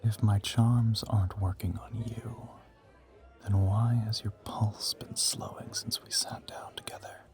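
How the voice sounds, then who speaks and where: A man's voice: steady tone, measured pace, darkish tone, soft background music. A man playing an ancient, suave male vampire in an intimate audio roleplay, teasing and smug, with formal, theatrical phrasing. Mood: seductive teasing. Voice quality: low, breathy half-whisper.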